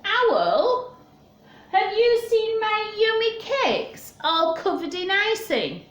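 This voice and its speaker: A woman's voice: extremely high pitch, very animated, slow pace, fairly bright tone, echoey room, voice pushed up, exaggerated voice. A woman voicing a hungry storybook bear, asking questions in an exaggerated, imploring way. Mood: anxious questioning.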